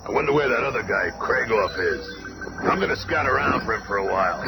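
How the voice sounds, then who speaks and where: Gravelly, brash monster hero. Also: male voice, on the low side, lively intonation, brisk, heavy background noise. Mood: curious. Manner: blunt, slangy, wisecracking tough guy. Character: gruff, brash, pugnacious male monster superhero. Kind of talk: 1970s radio superhero drama acting. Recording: 1970s radio show with loud music.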